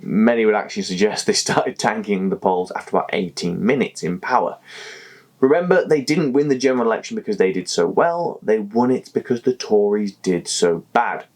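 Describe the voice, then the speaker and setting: A man's voice: lowish voice, expressive, a little fast, darkish tone. A man hosting a political explainer video, talking emphatically with wry, jokey asides. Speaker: political commentary host.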